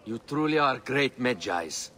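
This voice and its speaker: An actor playing a steady conspirator who speaks calmly and reassuringly. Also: male voice, medium pitch, a little slow, slight background noise.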